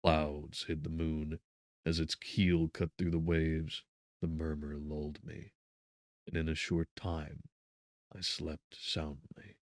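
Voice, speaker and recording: A man narrating a gothic novel as a scripted audiobook, with measured, dramatic phrasing. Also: very deep voice, level tone, no echo, clean studio sound.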